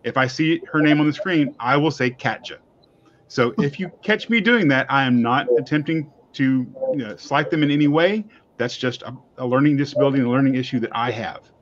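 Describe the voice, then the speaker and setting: A man's voice: medium pitch, brisk. A man hosting a panel show from home over a video call, chatty, rambling and self-deprecating. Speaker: host. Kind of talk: casual chat. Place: home room. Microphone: webcam on video call. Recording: slightly muffled video call audio.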